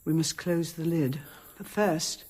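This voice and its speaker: An actress playing a composed, shrewd abbess in a radio drama, speaking in a measured, formal and authoritative way. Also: female voice, sits low, a little mellow, breathy edge, exaggerated voice. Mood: low-key, resolute.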